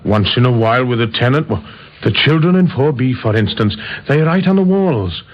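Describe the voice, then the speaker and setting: An actor playing a humble working-class widower janitor in an old radio drama, speaking plainly and deferentially. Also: male voice, medium pitch, expressive, a little fast, lightly acted. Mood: subdued, deferential. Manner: deferential, plain-spoken, ungrammatical. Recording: noisy, muffled vintage radio recording.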